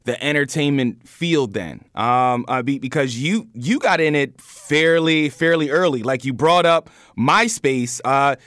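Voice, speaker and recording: A man hosting a casual interview, feeling his way haltingly into his questions. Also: medium pitch, fairly bright tone, no echo. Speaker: podcast interviewer.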